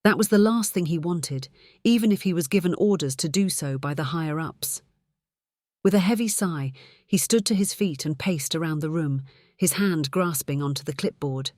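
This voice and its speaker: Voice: mid-range voice, moderate pace, a little mellow, dry recording, studio quality. A narrator reading scripted fiction for an audiobook.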